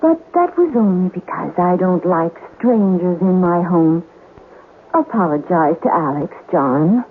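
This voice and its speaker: Slow, seductive ghost lady. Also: on the low side, lively intonation. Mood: playful, wheedling. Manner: coy, languid, wheedling. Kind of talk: old-time radio drama acting. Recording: tinny old radio, faint noise.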